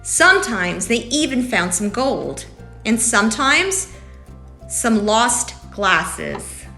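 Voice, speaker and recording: A woman hosting story time for young children, talking and reading aloud in an animated way, addressing them as friends. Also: on the high side, takes it slowly, creaky. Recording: roomy echo, faint music.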